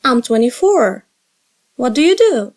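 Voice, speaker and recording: A woman English teacher reading short dialogue lines slowly and carefully for learners to repeat after her. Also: fairly high pitch, big pitch swings, a little mellow. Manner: slow, carefully spaced drill reading.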